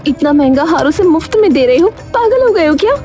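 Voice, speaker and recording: Agitated village wife, played by a woman: scolding, shrill, pitched way up, cartoon storytelling. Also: expressive, fairly quick, warm tone, soft background music. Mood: indignant.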